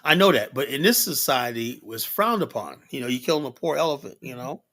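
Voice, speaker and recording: Man, middle-aged, from Liberia, livestream host, rambling talk, lots of "you know", animated delivery. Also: medium pitch, fairly quick. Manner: rambling, animated, many fillers and rhetorical tags. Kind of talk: casual livestream discussion.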